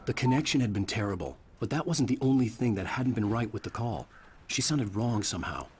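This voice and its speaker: Man playing low-voiced brooding writer, narrating. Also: lively intonation, brisk, noisy background, natural voice. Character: brooding, world-weary male writer telling his ordeal. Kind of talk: scripted first-person game narration.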